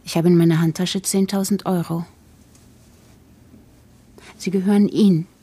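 A woman playing a cool, calculating wife in a German podcast drama, speaking in measured, polite and articulate phrases with practised sincerity. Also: on the low side, fairly even intonation, warm tone, husky. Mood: solemn, pleading. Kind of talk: German fiction podcast acting.

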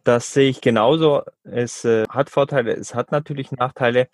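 A man's voice: mid-range voice, fairly even intonation, normal speed, dry recording. Man hosting a podcast interview, dry sound. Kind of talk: interview questions.

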